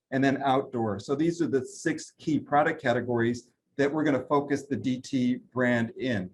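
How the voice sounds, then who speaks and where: A man, a brand president, giving a trade interview and running through product lines with steady talk and frequent fillers. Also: mid-range voice, slight background noise, poor audio. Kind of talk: business interview, product rundown.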